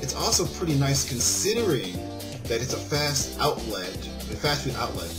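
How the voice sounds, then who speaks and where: A man's voice: medium pitch, sing-song, normal speed. A man reading a visual novel aloud and commenting casually, often stumbling over lines and correcting himself. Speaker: video game let's-play host. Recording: music playing behind the voice. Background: game background music.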